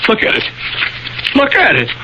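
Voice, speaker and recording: A man's voice: high-pitched, huge pitch swings, moderate pace. An actor playing a tormented, guilt-ridden, self-loathing male author in a radio drama, speaking with agitated, repetitive, anguished phrasing. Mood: despairing, insistent. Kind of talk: old-time radio drama acting. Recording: dull, faintly noisy 1980 radio broadcast.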